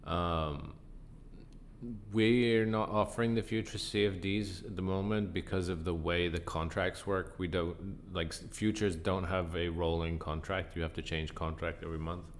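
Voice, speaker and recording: Croaky, deep-voiced tired man. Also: normal speed, a little hiss or hum. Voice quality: croaky, deep from sinus trouble. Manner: relaxed, answers chat questions. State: tired, up a long time. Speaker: livestream trading host. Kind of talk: casual live-stream chat.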